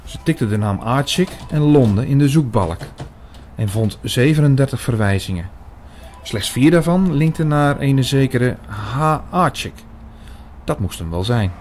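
A man narrating a radio play in the first person, measured and literary in his storytelling. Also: medium pitch, expressive, a little mellow, slight background noise. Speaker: narrator and main character.

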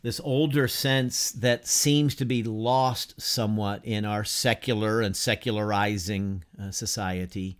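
A man in his fifties giving an informal class lecture from a home study, unhurried and conversational, asking rhetorical questions and using many fillers. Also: fairly low pitch, steady tone. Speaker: teacher of an adult church class. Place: home study lined with bookshelves, whiteboard beside him.

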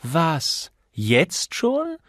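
A man's voice: on the high side, huge pitch swings, extremely slow, fairly bright tone, dry recording, clean studio sound, pitched above the performer's own voice, exaggerated voice. A man voicing a blunt, outspoken young woman in an audiobook, brusque and mocking.

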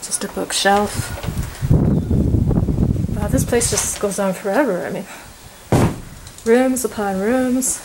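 A woman's voice: mid-range voice, slow pace, pauses often. A woman giving casual, unscripted running commentary in short fragments as she explores empty rooms with a handheld camera. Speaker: urban explorer and host. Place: inside rooms of an empty, abandoned house. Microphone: handheld camera microphone held by the speaker. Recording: handheld camera with clear background noise. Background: ambient noise.

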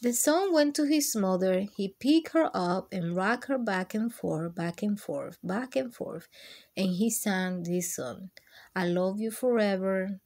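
Female children's storytime host, mid-pitched, unhurried, expressive read-aloud.

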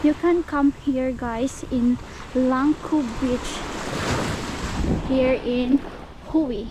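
A woman's voice: sits high, steady tone, drawn-out words. A young woman from the Philippines, chatting directly to viewers as she walks along a beach with a handheld camera. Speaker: travel vlogger. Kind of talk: casual walking vlog. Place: outdoors on a beach. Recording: noisy outdoor beach audio. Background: waves and surf.

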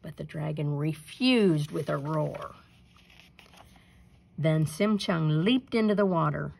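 A woman reading a children's folktale aloud in an expressive, deliberate storytelling manner. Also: low-pitched, gravelly. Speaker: storybook reader. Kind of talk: scripted narration, children's folktale read aloud.

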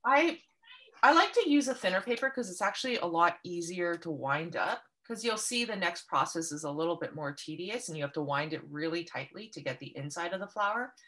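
A woman's voice: fairly low pitch, animated, brisk, pushed and bright. A woman from Canada leading an online paper craft workshop, explaining each step patiently and kindly over a video call. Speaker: art workshop instructor.

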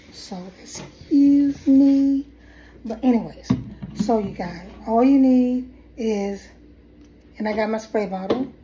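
A woman's voice: a little high, drawn-out words, long pauses, background noise. A middle-aged woman with a head cold chatting through a casual hair tutorial at her kitchen table, rambling and restarting as she goes, recorded on a phone. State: has a head cold, coughing. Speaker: hair vlogger. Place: kitchen table at home, indoors. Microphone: phone camera at table.

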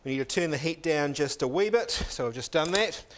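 A man's voice: on the high side, expressive, fairly quick. A middle-aged man, a chef in a teaching kitchen, explaining each step of a recipe while he cooks, on a lapel mic. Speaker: cooking show chef. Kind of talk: cooking demonstration.

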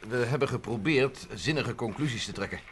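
An actor playing a plain-spoken fugitive explaining events, on an old radio play tape. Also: male voice, mid-range voice, a little fast, a little hiss or hum, close to an everyday voice. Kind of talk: 1960s radio drama acting.

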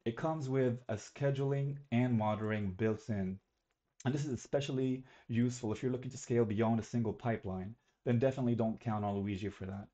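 A man's voice: mid-range voice, steady tone, a little fast, dark tone. A young man from Algeria giving a recorded student presentation on a webcam, talking casually and correcting himself as he goes. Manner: casual, self-correcting, slightly rambling.

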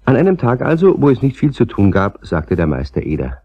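A man's voice: medium pitch, huge pitch swings, fairly quick, no echo, muffled recording. A man narrating a children's radio play as a scripted storyteller.

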